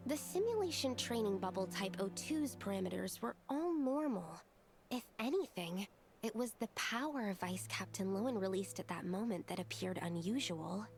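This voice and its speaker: A woman's voice: medium pitch, expressive, normal speed, soft background music, natural voice. A woman voicing a careful, earnest young female researcher in a video game, speaking precisely and cautiously. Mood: earnest, concerned.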